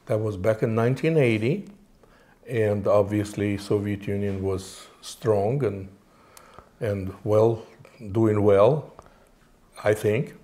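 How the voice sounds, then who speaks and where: A man in his forties from Moldova, a native Romanian speaker, answering interview questions in a halting way with many fillers and restarts. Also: on the low side, warm tone. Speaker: interview guest.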